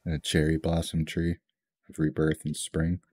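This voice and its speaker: A man hosting a music reaction video, talking in a hesitant, reflective way with lots of pauses and ums. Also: extremely low pitch, completely flat delivery, darkish tone, breathy edge, no room sound. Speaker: music reaction channel host. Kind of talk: casual reaction commentary.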